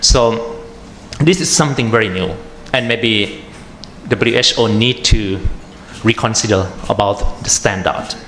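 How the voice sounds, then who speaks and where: A man with a Thai accent, an atmospheric chemist giving a conference talk, halting with many fillers and restarts. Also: fairly low pitch, fairly bright tone. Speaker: panel speaker, atmospheric chemist. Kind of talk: conference presentation. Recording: echoey room with faint noise.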